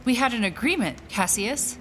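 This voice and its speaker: An actress playing an impatient aristocratic sister who speaks in a direct, insistent, demanding way in an audio drama. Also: female voice, on the high side, animated, moderate pace, fairly bright tone, faint music behind, low-quality recording. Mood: indignant, demanding.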